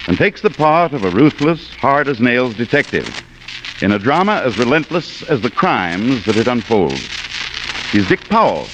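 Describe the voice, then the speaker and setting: Old time american radio host guy, formal scripted intro, really animated pitch, muffled noisy recording. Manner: formal, measured, rhetorical. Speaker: guest producer and host of a radio theater.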